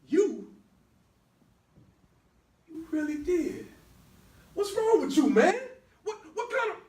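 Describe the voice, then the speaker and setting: Stage drama: hot-tempered protective older brother, played by a man, high and swooping delivery, colloquial and punchy, roomy distant mic. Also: big pitch swings, slow pace, darkish tone, full character voice. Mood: outraged. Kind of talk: stage play skit acting.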